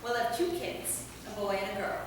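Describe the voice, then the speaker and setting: A woman's voice: mid-range voice, steady tone, moderate pace, close to an everyday voice. A woman playing a tender, hopeful, devoted immortal centuries old in a staged play reading. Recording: echoey hall, distant mic, clear noise.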